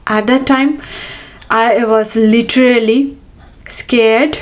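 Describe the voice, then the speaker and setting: A woman's voice: a little high, fairly even intonation, takes it slowly. A middle-aged woman from India, a native Bengali speaker with a Bengali-accented English, hosting a casual podcast monologue with many fillers and restarts. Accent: Bengali-influenced English. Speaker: podcast host.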